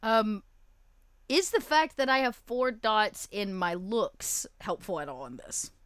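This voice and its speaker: A woman's voice: mid-range voice, sing-song, slow pace, fairly bright tone, clean tone, no room sound. A woman chatting casually as a player in a tabletop role-playing game.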